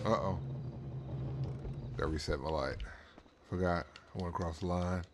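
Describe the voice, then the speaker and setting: A man with a very low voice gives casual gameplay commentary in a laid-back, slangy way, as if talking to himself. Loud car and engine noise runs behind him. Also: steady tone, moderate pace. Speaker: streamer playing a drag racing game. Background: game car and engine sounds.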